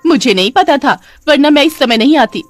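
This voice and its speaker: A woman acting a shrill, nosy neighbour auntie. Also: expressive, moderate pace, dry recording, faint music behind. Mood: mocking. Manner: shrill, mocking. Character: nosy, accusing middle-aged neighbour auntie. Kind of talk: Hindi cartoon story voice acting.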